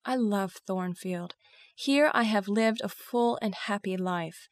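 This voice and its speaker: Young governess, earnest, deeply feeling, careful diction, slightly high pitch, period audio drama. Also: female voice, expressive, a little slow, no room sound, clean studio sound. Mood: heartfelt sorrow. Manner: earnest, emotional, carefully enunciated. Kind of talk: first-person dramatized storytelling of classic novel.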